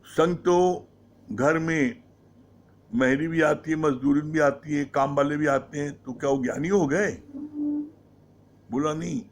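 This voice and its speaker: Man, devotional sermon, somewhat high voice, very slow pace, rhetorical questions, English words mixed in. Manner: mixes English words into Hindi. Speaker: satsang speaker. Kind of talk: devotional discourse on scripture.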